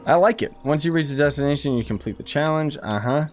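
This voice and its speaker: A man's voice: on the high side, fast talker, faint music behind. A man giving casual, slangy live commentary as he plays a game and chats with viewers. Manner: informal, slangy, exclaims and chuckles while playing. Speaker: gaming streamer.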